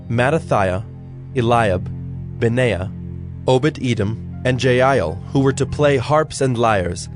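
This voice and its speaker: Brief: male narrator, mid-pitched, solemn and measured dramatized scripture reading, clear music bed.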